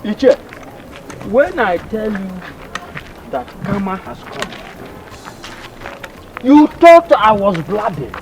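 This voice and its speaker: A man's voice: high voice, big pitch swings, takes it slowly, long pauses, soft background music, exaggerated voice, low-quality recording. A man playing an older village chief who scolds and talks evasively. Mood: agitated.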